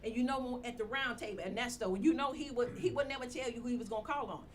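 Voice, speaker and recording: A woman, the show's executive producer, telling stories in a lively, restarting way on a radio roundtable. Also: fairly high pitch, fast, slight background noise. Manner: animated storyteller, many restarts.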